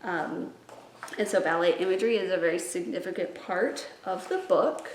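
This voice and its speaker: A woman hosting a casual book recommendation video as a children's librarian, speaking in an enthusiastic, expressive way. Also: fairly low pitch, normal speed. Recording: echoey room with faint background noise.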